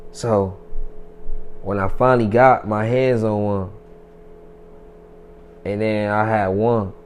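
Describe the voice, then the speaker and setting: Rapper guest, twenties, low steady voice, loose swaggering street talk, light background noise. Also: male voice. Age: 20s. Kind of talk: casual interview talk.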